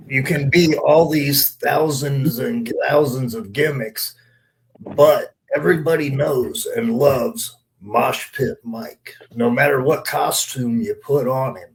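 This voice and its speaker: A man's voice: medium pitch, gravelly, slight background noise, low-quality recording. A man talking on a podcast, giving opinions in an earnest, emphatic way and repeating points for weight. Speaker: wrestler and panelist.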